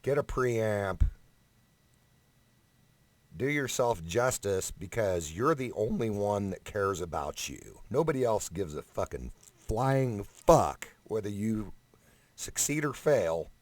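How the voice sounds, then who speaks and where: A man's voice: on the low side, sing-song, slow pace, no echo. A man ranting casually in a vlog, blunt, emphatic and sweary, speaking right up close to a condenser microphone. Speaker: amateur singer giving audition advice. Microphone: condenser microphone up close, no preamp.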